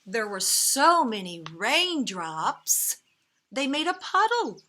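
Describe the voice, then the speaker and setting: Woman reading expressively to children, very animated pitch. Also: slight background noise. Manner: dramatic pauses, emphatic key words, gentle asides to young listeners. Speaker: storyteller reading a picture book to children. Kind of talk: expressive children's read-aloud. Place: outdoors.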